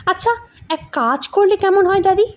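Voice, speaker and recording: A woman's voice: very high voice, moderate pace, darkish tone, voice pushed up. A woman voicing a bossy, slyly mocking elder grandson in an audio story, scolding and mock-helpful by turns. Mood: slyly teasing.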